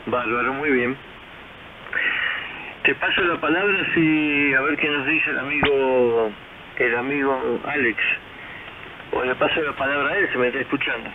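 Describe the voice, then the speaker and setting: A man's voice: mid-range voice, normal speed, pauses often. A man, a ham radio operator thinking aloud hesitantly over a shortwave transmission. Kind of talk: casual radio chat while testing audio settings. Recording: narrow tinny shortwave radio, faint hiss.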